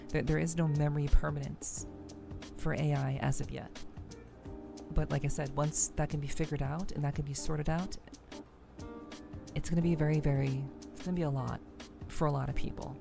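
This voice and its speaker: A woman with a very low voice and a creaky edge talks casually to her stream. She is reflective and deliberate, repeating phrases for emphasis, with music playing behind her. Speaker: streamer hosting a game playthrough. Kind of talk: reflective casual commentary to viewers.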